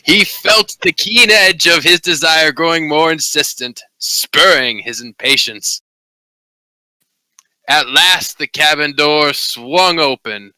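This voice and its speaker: Male amateur reader, dramatic romance narration, very bright tense tone. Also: fairly high pitch, takes it slowly, no room sound, rough recording.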